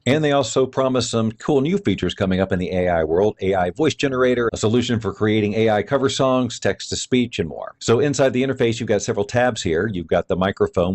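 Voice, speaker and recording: Male tutorial presenter, low pitch, walking viewers through a product demo. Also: a little mellow. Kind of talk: explanatory product demo narration.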